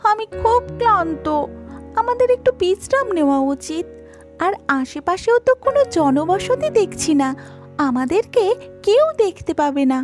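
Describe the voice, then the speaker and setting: A woman's voice: extremely high pitch, big pitch swings, normal speed, faint music behind. A woman voicing a cautious, timid young fairy in mermaid disguise for a cartoon. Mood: weary. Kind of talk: cartoon character acting.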